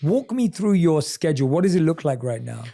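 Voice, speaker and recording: Brief: male interviewer, warm soft tone, agreeable, gently probing. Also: fairly high pitch, sing-song, fairly quick, creaky. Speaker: podcast host. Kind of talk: casual interview.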